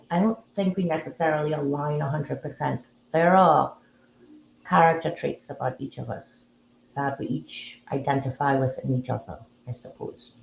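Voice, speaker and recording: A woman hosting a casual live audio room, talking in a relaxed, musing way with frequent fillers. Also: sits low, gravelly, reverberant, slight background noise, rough recording. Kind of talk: casual live-stream chat.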